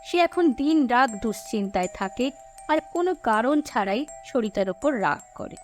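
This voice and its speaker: A woman tells a scripted cartoon story in a high-pitched voice. Her delivery rises and falls with lots of animation, and music plays behind her. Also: lively intonation, normal speed.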